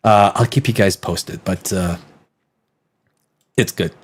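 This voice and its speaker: A man's voice: low-pitched, slow pace, slightly rough. A man in his fifties with a North American accent, chatting off the cuff as a livestream host, emphatic and profane, often repeating his phrases. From Canada, born in Czech Republic. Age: 50s. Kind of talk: casual unscripted livestream chat.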